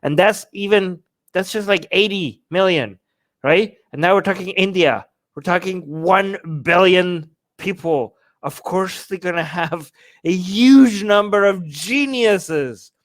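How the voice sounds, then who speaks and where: A man from Iran co-hosting a political talk show, speaking casually and haltingly, repeating phrases to make his point. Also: high-pitched, dry recording. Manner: halting, searching for words, repeats phrases for emphasis. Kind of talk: casual, opinionated discussion.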